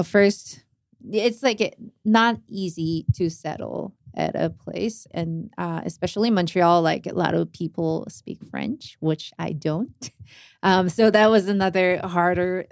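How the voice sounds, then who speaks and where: Soft-spoken Korean woman, smooth voice. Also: lowish voice, no echo, clean studio sound. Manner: soft-spoken, many "like" fillers, non-native phrasing. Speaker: jazz drummer, interview guest. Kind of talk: casual interview chat.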